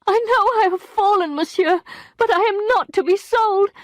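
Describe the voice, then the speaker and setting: A woman's voice: on the high side, measured pace, no room sound, pitched above the performer's own voice, close to an everyday voice. A woman reading an audiobook, voicing a proud young wife in distress, bitter and pleading. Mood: desperate. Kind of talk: audiobook character voice.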